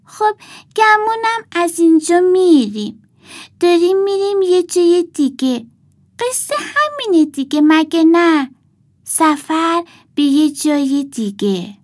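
A woman's voice: very high-pitched, very animated, pitched above the performer's own voice. A woman voicing a small, naive, hopeful girl doll in a children's storybook, earnest and hesitant.

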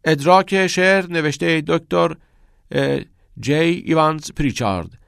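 A man's voice: a little high, gravelly, fairly bright tone, clean tone, no room sound, studio quality, voice pushed up. A man voicing an obedient teenage schoolboy in an audiobook, speaking hesitantly as if reciting in class. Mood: dutiful reciting. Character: obedient teenage schoolboy reporting and reading aloud. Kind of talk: audiobook character voice.

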